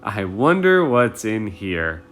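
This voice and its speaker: A man's voice: fairly low pitch, big pitch swings, slow pace, fairly bright tone, faint music behind, exaggerated voice. A man voicing a kindly, effusive, easily delighted dad in a children's storybook. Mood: warm, gentle. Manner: exclaiming, effusive.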